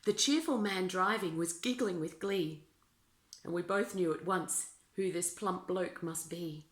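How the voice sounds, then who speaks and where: A woman reading rhyming children's verse aloud as an expressive storyteller. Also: on the low side, unhurried. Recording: roomy, echoing indoor sound.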